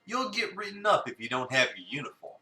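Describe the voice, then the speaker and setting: A man's voice: sits high, very animated, fairly quick, bright, forceful tone, full character voice. A man reading a pushy, playful cafe coworker in a visual novel, teasing and needling. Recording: roomy, a bit far from the mic.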